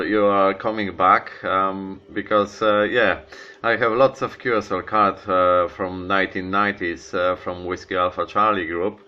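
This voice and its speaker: Dull lo-fi recording, faint noise, man, low sharp-edged voice, halting casual radio operator talk. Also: clear, resonant tone. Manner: halting, repeats words, non-native phrasing. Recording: dull lo-fi sound, faint hiss.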